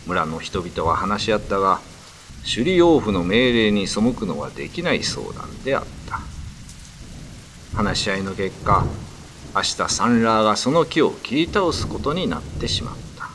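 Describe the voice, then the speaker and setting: A man narrating a scripted ghost story in low, grave tones. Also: very animated, normal speed, fairly bright tone, a little hiss or hum. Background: music.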